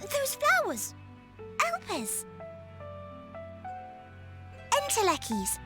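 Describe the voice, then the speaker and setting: A woman voicing an innocent, eager childlike girl creature in a video game, speaking in short, halting, simple phrases. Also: very high voice, wildly swooping pitch, bright, forceful tone, faint music behind, heavily acted. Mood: wistful, simple. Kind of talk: video game cutscene acting.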